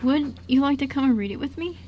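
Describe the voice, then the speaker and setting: A woman's voice: high-pitched, expressive, a little fast, dull audio. A woman playing a bookish, shy six-year-old boy who stammers a little in an amateur radio drama. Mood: keen. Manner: hesitant, stammering, boyish. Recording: noisy amateur radio recording.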